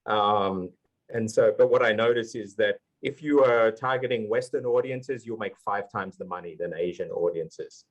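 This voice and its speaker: Earnest low-voiced man. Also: dull audio. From Australia. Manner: earnest, explanatory, halting with fillers. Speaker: panelist, language YouTuber. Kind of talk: live conference panel talk.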